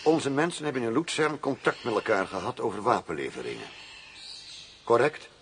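An actor playing a cool, demanding arms buyer in a radio drama, formal, firm and self-assured. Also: male voice, mid-range voice, animated, normal speed, close to an everyday voice. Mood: firm, businesslike. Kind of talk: Dutch radio drama acting. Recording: noisy old radio drama recording.

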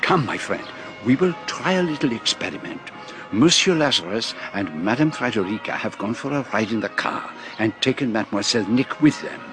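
An actor playing a shrewd, middle-aged Belgian detective with a French-speaking Belgian accent, formal, precise and theatrical, in a radio drama. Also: male voice, fairly low pitch, expressive, brisk, music in the background, natural voice. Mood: brisk, conspiratorial.

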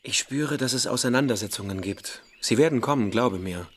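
An actor playing a calm, prophetic young man who speaks solemnly and with assurance in a German radio play. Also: mid-range voice, fairly quick, natural voice. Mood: grave, prophetic.

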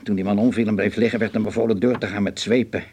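An actor playing a cautious, grave, fatherly older German man in a radio drama, with a measured, formal and guarded delivery. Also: lowish voice, steady tone, darkish tone, husky. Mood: worried, guarded.

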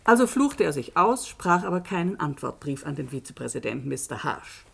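A woman playing a dryly ironic jurist who narrates and lectures deliberately in a German radio play. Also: low-pitched, big pitch swings, vocal fry, slightly rough, exaggerated voice. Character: sharp, lecturing female jurist telling a case to students.

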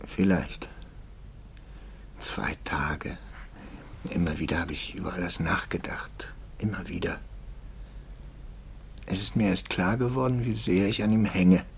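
An actor playing a troubled, anxious priest in a 1950s German radio drama, brooding and articulate, moving between hushed and impassioned speech. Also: male voice, sits low, slight background noise. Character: troubled, anxious priest, intense and probing, quietly bitter.

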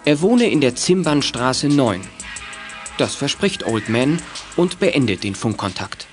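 A man's voice: mid-range voice, sing-song, measured pace, music in the background. A man narrating a scripted children's detective audio drama as its storyteller. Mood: measured, suspenseful.

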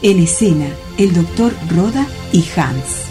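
A woman's voice: fairly low pitch, animated, takes it slowly. A woman narrating a radio drama, reading scripted scene descriptions. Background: music.

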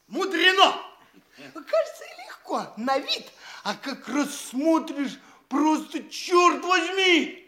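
A man's voice: extremely high pitch, pushed and bright, roomy sound, heavily acted. An actor playing a boastful, tipsy young clerk posing as a grandee in a theatrical radio play, stammering and repeating himself as he brags. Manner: bragging, swooping, drawn-out, stammering and repetitive.

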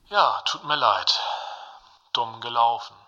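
Actor as smug man, very bright, forceful voice. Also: mid-range voice, lively intonation, takes it slowly, lo-fi sound, full character voice. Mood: smug, justifying. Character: smug turncoat male singer-poet, defender of the state. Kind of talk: radio play dialogue.

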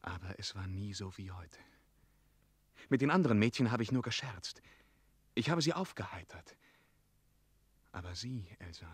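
An actor playing an ardent, tender knightly hero in a radio play, earnest and romantic. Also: male voice, mid-range voice, husky, dry recording. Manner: earnest, romantic, swinging from hushed to declamatory. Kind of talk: German radio play acting.

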